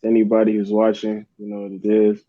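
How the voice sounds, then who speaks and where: A man's voice: fairly low pitch, monotone, brisk, no echo. A young man from Uganda, a guest artist, talking in a laid-back, rambling way with many fillers. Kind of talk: casual interview chat. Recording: dull lo-fi dry sound.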